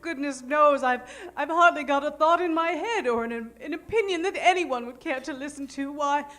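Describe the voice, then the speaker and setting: A woman playing a timid, self-effacing wallflower who rambles haltingly, in improvised old-time radio comedy. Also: high voice, a bit bright. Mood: meek. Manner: meek, halting.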